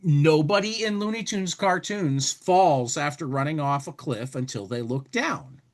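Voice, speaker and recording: A man co-hosting a casual talk show, commenting and reading aloud, often repeating words as he works out a thought. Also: fairly high pitch. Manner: halting, repeats words while working out a thought. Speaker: podcast co-host.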